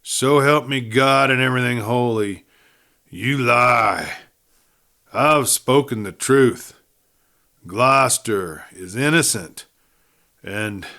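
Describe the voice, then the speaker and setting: A man's voice: takes it slowly, long pauses, sharp tone, heavily acted. A man voicing a dying Western outlaw in an audiobook, desperate and sneering, speaking urgently in a rustic cowboy drawl as he gasps out a confession. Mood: defiant. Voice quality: low, breathy. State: gasping, near death. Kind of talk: audiobook character voice.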